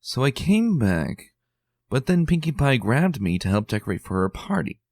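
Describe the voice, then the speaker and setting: A man's voice: mid-range voice, very animated, normal speed, a little mellow, no echo, studio quality, voice pushed up. A man voicing an earnest, bookish young woman unicorn who sounds mildly exasperated. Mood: recalling.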